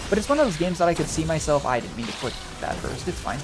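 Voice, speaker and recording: Rambling young male streamer, higher-pitched voice. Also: very animated, fast talker. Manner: rambling, conversational. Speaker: game streamer. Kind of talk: casual gameplay commentary. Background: game music.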